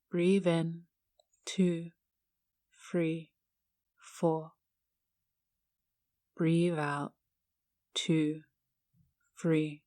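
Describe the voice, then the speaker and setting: A woman guiding a meditation in a hushed, soothing, measured manner with long pauses. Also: low-pitched, no echo, studio quality. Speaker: yoga teacher guiding a meditation. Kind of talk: guided meditation narration.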